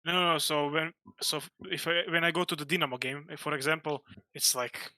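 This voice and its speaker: A man's voice: fairly high pitch, fairly even intonation, a little fast, pushed and bright, no room sound. A man from Croatia, a guest on a stream, talking casually and searching for his words as he goes. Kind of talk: casual chat.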